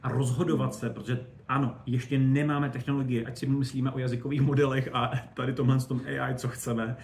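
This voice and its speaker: A man's voice: medium pitch, flat delivery, creaky, a little hiss or hum. A man giving conversational, enthusiastic and discursive answers as a guest expert on spaceflight.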